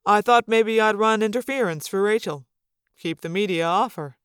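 A woman's voice: medium pitch, moderate pace, smooth, dry recording, clean studio sound, close to an everyday voice. A woman voicing an easygoing, protective father in an audiobook story. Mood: reassuring.

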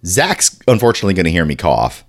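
A man with a deep, low voice, stuffy and congested from a chest cold, hosts a casual podcast. His pitch still swings up and down a lot as he rambles and restarts his sentences. He keeps clearing his throat. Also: very animated, fast. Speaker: podcast host.

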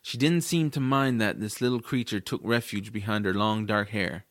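A man's voice: lowish voice, moderate pace, dry recording, clean studio sound. A man reading scripted first-person narration for a family fantasy audiobook. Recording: music behind the voice. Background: music.

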